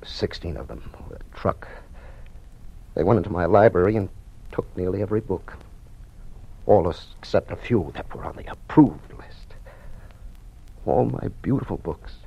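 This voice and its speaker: A man's voice: low voice, creaky, darkish tone, husky, exaggerated voice. An actor playing an aging, bookish father, proud and regretful, with theatrical delivery in an old-time radio drama. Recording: muffled old radio recording.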